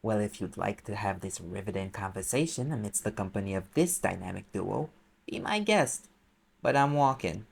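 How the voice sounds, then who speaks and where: A man's voice: on the low side, animated, moderate pace, creaky. A man playing a sarcastic, impatient private eye mage in an audio roleplay, explaining things in a conversational, exasperated way. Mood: wry, explaining. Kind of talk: audio roleplay acting, one side of a dialogue.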